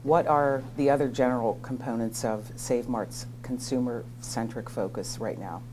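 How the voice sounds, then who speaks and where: A middle-aged woman hosts the interview in a very low, dark voice, polished and to the point. Her questions are brief and neatly phrased. A faint hum sits under the studio sound. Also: moderate pace, rough recording. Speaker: interview host. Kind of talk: scripted business interview hosting.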